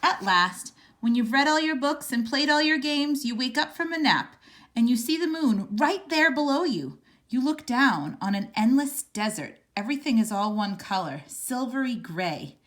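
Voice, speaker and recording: A woman reading a children's picture book aloud in a measured, expressive storytelling manner. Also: a little high. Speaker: storybook reader.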